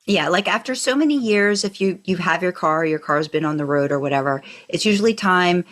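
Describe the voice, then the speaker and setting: Casual podcast co-host: American woman, pitch a little on the low side, chatting informally. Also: a little fast, a bit bright. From United States. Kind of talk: casual fandom podcast chat.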